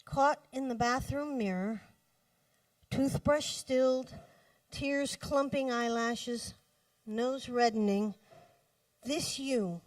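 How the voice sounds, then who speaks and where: A woman poet reads her work aloud at a live reading in a fairly high voice. Her delivery is slow and deliberate, with measured pauses between phrases. She is picked up from a little distance from the microphone. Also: a little mellow, no echo. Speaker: poet reading own poems. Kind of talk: live poetry reading with brief spoken introductions. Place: cafe poetry reading.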